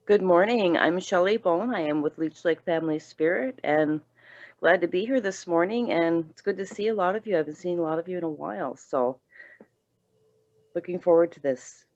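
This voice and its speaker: A woman's voice: lowish voice, normal speed, a little mellow. A woman from a family program introducing herself cheerfully on a video call. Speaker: program staff. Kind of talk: self-introduction. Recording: dull call audio.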